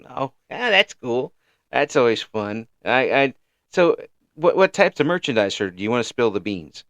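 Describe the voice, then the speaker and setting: A man in his fifties hosting a casual chat interview, talking in a halting, rambling way with repeated words. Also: medium pitch, very animated, a bit bright, no room sound. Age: 50s. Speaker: interview show host.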